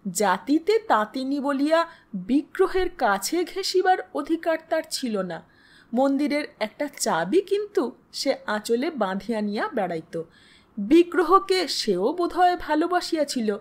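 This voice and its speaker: A woman narrating a literary story expressively, as in an audiobook. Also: high voice.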